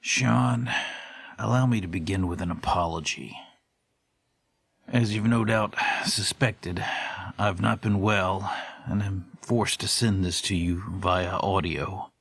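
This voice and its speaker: Man acting middle aged gent telling a creepy story, lowish voice, very formal and wordy, horror podcast drama. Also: steady tone, gravelly, a bit bright, slightly rough, no echo, heavily acted. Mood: confiding, grave. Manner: ornate, formal, measured storytelling. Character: formal, verbose middle-aged man recalling haunting courier days. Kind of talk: audio horror drama monologue, recorded letter.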